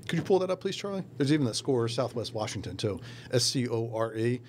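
Fast-talking, blunt man host. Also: medium pitch, slight background noise. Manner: relaxed, blunt, swears casually. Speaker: podcast host. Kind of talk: casual chat. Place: small studio with painted mural. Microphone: boom mic on arm.

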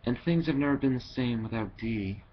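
A young man reading scripted dramatic lines as an amateur voice actor, haltingly and with repeated words, into a headset microphone at home. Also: mid-range voice. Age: young adult. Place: wood-panelled living room at home. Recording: dull headset audio with background hiss.

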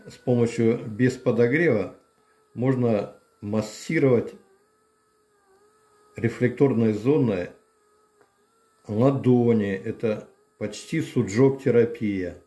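An older man casually demonstrating a massager at home, explaining plainly with pauses and fillers. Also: medium pitch, mellow. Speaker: channel host reviewing a massager. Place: home room, indoors. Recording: echoey room.

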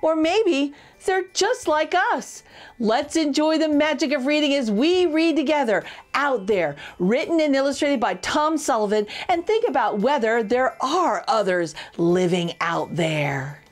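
A middle-aged woman hosting a children's storytime, reading a picture book aloud with expressive, exaggerated intonation. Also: sits high, a little slow. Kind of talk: animated read-aloud for young children.

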